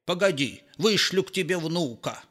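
A man voicing a gloomy old male sea devil from a fairy tale, wary and pleading in a drawn-out, plaintive manner. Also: a little high, expressive, a bit bright, pitched above the performer's own voice.

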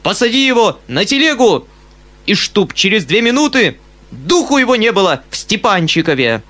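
Brief: a man as a shaken uncle, shouting, high and strained. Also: big pitch swings, moderate pace. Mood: desperate rage. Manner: shouting in desperation. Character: agitated kindly landowner man, trembling uncle.

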